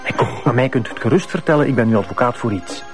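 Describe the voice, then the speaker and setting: An actor as an insinuating Flemish lawyer over music. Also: male voice, medium pitch, lively intonation, rapid-fire, lightly acted. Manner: coaxing, needling. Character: insinuating, menacing male lawyer. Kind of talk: TV crime drama dialogue. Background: clear music.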